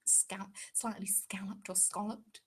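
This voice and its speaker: A woman's voice: medium pitch, level tone, unhurried, whispered. A young English woman hosting a craft channel's live stream, chatting casually and rambling with frequent ums.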